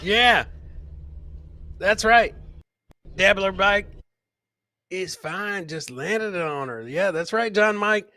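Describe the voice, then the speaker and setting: A man's voice: high-pitched, expressive, slow, long pauses, sharp tone, no room sound. A man hosting a comedy show, chatting casually and ramblingly with joking asides as he comments on clips.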